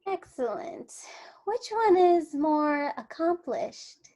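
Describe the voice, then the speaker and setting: A woman's voice: sits high, sing-song, takes it slowly, mellow, full character voice. A woman reading the part of a bossy, scornful alien examiner, dry and blunt, at a script table read. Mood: brisk, official. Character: scornful, bossy female alien examiner testing abducted humans.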